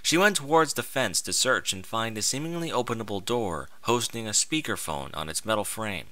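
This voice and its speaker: Man, story narrator, lowish voice, swooping intonation, theatrical delivery, very dry close sound. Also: expressive, normal speed, bright, forceful tone, dry recording. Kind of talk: dramatic scripted story narration.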